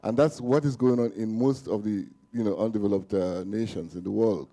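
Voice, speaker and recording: Dark-voiced man asking a serious question. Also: fairly low pitch, fairly quick. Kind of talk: audience question at a forum. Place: conference room.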